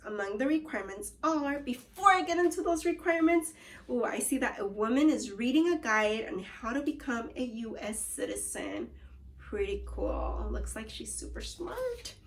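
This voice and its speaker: A woman teacher reading aloud to young children, encouraging them and prompting with questions and cues. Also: on the high side. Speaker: elementary school teacher.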